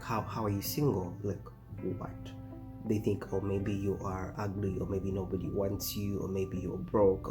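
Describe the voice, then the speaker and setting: A man's voice: fairly low pitch, low-quality recording. A man, chatting casually to camera in a rambling way, full of fillers and restarts. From Uganda. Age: 20s. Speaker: vlogger. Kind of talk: casual talking-head vlog.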